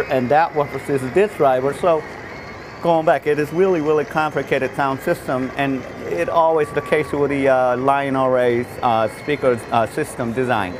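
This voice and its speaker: Halting man presenting over heavy background noise. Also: on the high side. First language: not English. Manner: halting, repetitive, frequent false starts. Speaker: loudspeaker designer presenting his product. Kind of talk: unscripted technical product demonstration. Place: trade show exhibition space. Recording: noisy trade show floor recording.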